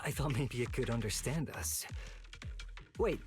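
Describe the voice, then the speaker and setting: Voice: mid-range voice, expressive, unhurried, lightly acted. Voice actor playing candid night watcher, anime game character, casual, curious, inquisitive, music underneath. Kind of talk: anime-style video game voice acting. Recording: music behind the voice. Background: background music.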